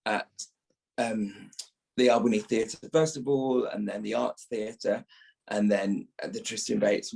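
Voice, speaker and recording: A man with a noticeable fry in his mid-pitched voice answers as an interview guest. He talks reflectively about his own work as an actor and repeats words to press a point. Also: darkish tone, rough recording. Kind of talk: reflective podcast interview talk.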